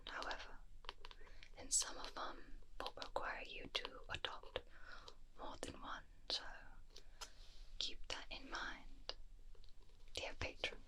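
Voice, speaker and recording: A woman whispering a fantasy ASMR roleplay as a kindly magical creature keeper, gently and unhurriedly addressing the listener up close. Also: low-quality recording. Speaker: ASMR host playing a roleplay character. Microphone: close binaural microphone.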